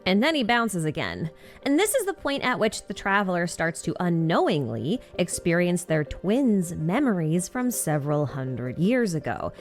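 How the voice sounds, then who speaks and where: A woman's voice: fairly low pitch, very animated, normal speed, soft background music. A woman reading conversational scripted narration for a game recap, with wry, informal asides.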